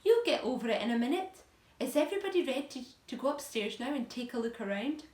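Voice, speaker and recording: A woman's voice: high-pitched, sing-song, quick pace, darkish tone, pitched above the performer's own voice. Lady reading as cheery old grasshopper man, kindly, encouraging, storybook, very echoey. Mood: reassuring. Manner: encouraging, reassuring. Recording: echoey room.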